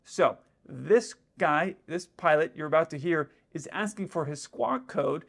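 Pilot in his thirties, fairly high voice, casual explainer to camera, indoor room. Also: lively intonation, measured pace, creaky. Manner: explanatory, with frequent "let's say" asides. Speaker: airline pilot commenting on radio audio.

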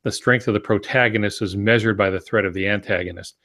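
A man's voice: lowish voice, steady tone, quick pace, bright, forceful tone. A man in his sixties giving casual writing advice with dry humor on a video-call podcast. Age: 60s. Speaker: podcast co-host, novelist. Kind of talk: casual teaching talk with dry jokes. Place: home office, indoors. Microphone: earbud headset on a video call.

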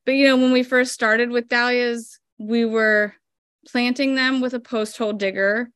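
A woman's voice: on the high side, steady tone, moderate pace, a bit bright, clear, resonant tone, no room sound, studio quality. A woman in her thirties, a flower farmer guest explaining her work step by step with lots of fillers, over a video call from home. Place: home room indoors. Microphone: video call webcam.

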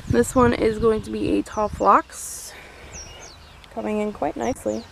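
A woman's voice: a little high, fairly even intonation, measured pace, gravelly. A woman chatting casually as she walks a garden with her camera, hedging on the names of the plants. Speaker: guide filming a garden tour. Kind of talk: casual walking garden tour. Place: outdoors in a library garden. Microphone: handheld camera mic. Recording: loud outdoor noise on a camera mic.

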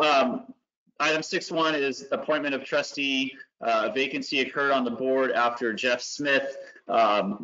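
Man, staff presenter at an online board meeting; bright tone, hesitant delivery, dull call audio. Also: mid-range voice. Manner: halting, many ums and uhs. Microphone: video call.

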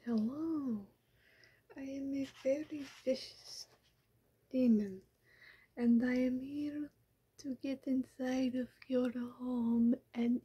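A woman whispering as a vampire posing as a demon, mock-menacing and deadpan. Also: on the high side, lo-fi sound, full character voice. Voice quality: breathy whisper. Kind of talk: whispered ASMR roleplay.

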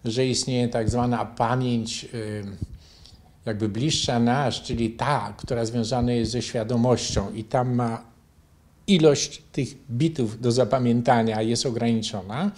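An older man from Poland answering interview questions in a measured, didactic, explanatory way. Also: mid-range voice, fairly even intonation, darkish tone. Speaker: interview guest, bioenergy therapy teacher and author. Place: furnished living room, indoors.

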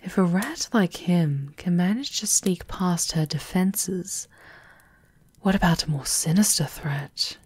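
Shrewd enchantress and royal advisor, fantasy ASMR, voiced by a woman: deep female voice, composed, formal, slow delivery. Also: expressive, darkish tone, slightly rough, dry recording, full character voice. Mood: measured, guarded. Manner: measured, knowing, formal. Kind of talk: ASMR roleplay acting.

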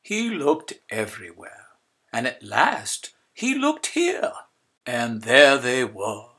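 A man reading a children's story aloud in an expressive, emphatic way. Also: medium pitch, takes it slowly, breathy edge. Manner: expressive, emphatic, repeating phrases for effect. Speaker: storybook reader. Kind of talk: animated children's read-aloud narration.

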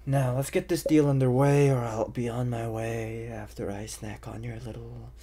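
A man voicing a suave, menacing, flirtatious vampire bounty hunter in an ASMR roleplay, teasing in breathy half-whispers with lingering pauses. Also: medium pitch, flat delivery, dark tone, slight background noise, natural voice. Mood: threatening, smug. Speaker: voice actor.

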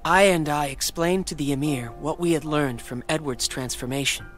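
A man's voice: on the high side, normal speed, a bit bright, faint music behind, close to an everyday voice. A man voicing an earnest, boyish young hero in a fantasy video game. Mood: solemn narration.

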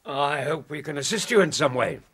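An actor playing a genial, loyal, plain-spoken English doctor and companion in a period radio drama. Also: male voice, a little high, expressive, fairly quick, fairly bright tone, slightly rough, no echo, heavily acted. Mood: helpful.

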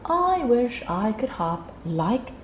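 A woman's voice: fairly high pitch, big pitch swings, takes it slowly, highs cut off, slight background noise, pitched above the performer's own voice. A woman voicing an affectionate, eager little young male hare in a children's storybook read aloud. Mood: impressed, wistful.

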